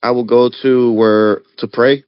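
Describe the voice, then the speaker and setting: Guy player in a tabletop game, short low-key answers, mid pitch, close dry but muffled audio. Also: little pitch movement, a little slow, clear, resonant tone, no room sound. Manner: brief, understated answers. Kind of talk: casual in-game talk. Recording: dull lo-fi audio.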